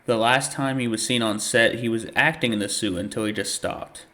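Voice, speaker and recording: A man hosting a reaction video, casually commenting and reading captions aloud in a halting, thinking-aloud way. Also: lowish voice, sharp tone.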